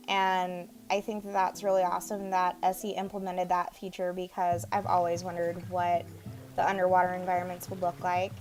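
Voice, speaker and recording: A woman with a raspy, sore throat from allergies, chatting casually in a home voice-over about a video game. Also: fairly low pitch. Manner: chatty, easygoing, a bit quiet. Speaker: gaming YouTuber. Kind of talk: casual gameplay voice-over commentary. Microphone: home voice-over mic. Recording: voice-over with game music behind.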